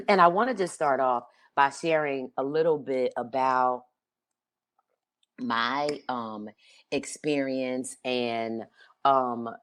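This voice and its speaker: Very low-voiced woman, conversational host. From Philadelphia, United States. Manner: conversational, many ums and rhetorical "right?". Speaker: podcast host. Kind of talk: reflective personal talk.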